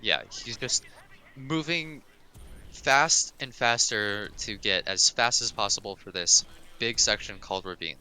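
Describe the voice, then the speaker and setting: Very bright, low-voiced man commentating. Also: unhurried, smooth. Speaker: speedrun commentator and coach. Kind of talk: casual live game commentary.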